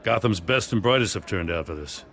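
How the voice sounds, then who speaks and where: An actor playing an earnest, dutiful middle-aged police commissioner in a video game, plain-spoken and sincere. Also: male voice, fairly low pitch, lively intonation, fairly quick, a bit bright. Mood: earnest, reflective. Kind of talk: video game dramatic acting. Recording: faint background ambience noise.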